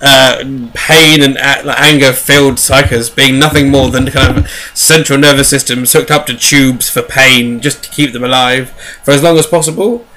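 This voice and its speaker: A man's voice: mid-range voice, steady tone, normal speed, pushed and bright, a little hiss or hum, low-quality recording. A man hosting a podcast, recapping in a casual, rambling, jokey way with wry asides. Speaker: podcast host. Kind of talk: casual chatty book recap.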